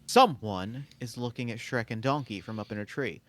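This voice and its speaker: A man reading the stage directions in a table read, in a quiet, matter-of-fact way. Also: mid-range voice, normal speed.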